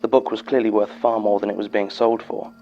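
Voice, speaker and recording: Male actor as sober British archivist for horror audio drama: low, gravelly, measured, formal delivery of eerie statements, slightly muffled sound. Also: little pitch movement, dark tone, faint music behind, lightly acted. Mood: uneasy, intrigued. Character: sober head archivist reading a theatre technician's eerie testimony.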